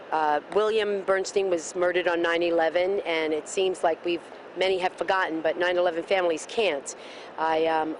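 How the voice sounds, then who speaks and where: A woman with a somewhat low voice speaks with fervor, building her answer like a speech and asking rhetorical questions. She is outdoors at a street rally, with faint street noise under her words. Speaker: rally protester being interviewed. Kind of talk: impassioned street interview.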